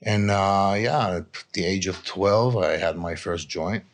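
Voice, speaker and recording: Very deep-voiced man, reflective interviewee. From Israel. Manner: halting and reflective, often adds "you know". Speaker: addiction recovery coach, interview guest. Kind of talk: candid personal storytelling in an interview.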